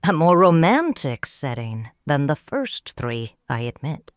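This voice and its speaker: A woman's voice: sits low, sing-song, measured pace, dry recording. A woman narrating a romance audio drama in first person, tender and reflective. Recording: dry studio voice with soft music.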